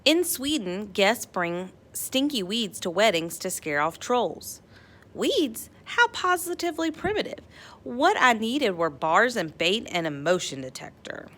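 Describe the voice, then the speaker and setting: A woman reading a children's picture book aloud as narrator, with playful dramatic emphasis and drawn-out words. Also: mid-range voice, sing-song, a bit bright. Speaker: storybook read-aloud narrator.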